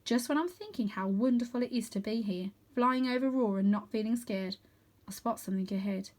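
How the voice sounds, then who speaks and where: A woman reading a children's adventure book aloud as storyteller, with expressive, dramatic emphasis. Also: fairly high pitch, a little fast.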